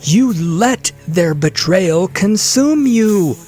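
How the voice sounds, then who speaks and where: A man voicing a fierce, sharp-tongued female mage in a fantasy audio drama. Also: high voice, lively intonation, drawn-out words, voice pushed up, exaggerated voice. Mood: sharp accusation. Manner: sharp, forceful delivery. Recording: loud dramatic music behind.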